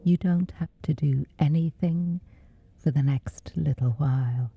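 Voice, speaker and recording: A woman with a whispery voice, slowly and gently guiding a sleep meditation. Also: low voice, vocal fry, husky, muffled recording. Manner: slow, soothing, unhurried. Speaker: meditation guide.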